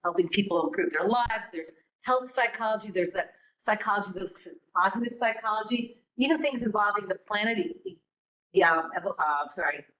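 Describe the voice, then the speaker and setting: A woman's voice: medium pitch, brisk, fairly bright tone, muffled recording. Woman presenting to a classroom. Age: middle-aged. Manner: conversational, encouraging, with rhetorical questions and fillers. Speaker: psychology program director giving a talk. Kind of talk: informal presentation to students. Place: classroom with a live audience. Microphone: no mic on, room recording.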